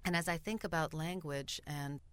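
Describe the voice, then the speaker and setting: A woman's voice: sits low, fairly even intonation, moderate pace, fairly bright tone, smooth. A middle-aged woman playwright from Sweden, whose first language was Bulgarian, answering interview questions thoughtfully. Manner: thoughtful, reflective, occasional laughs. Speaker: playwright and actress.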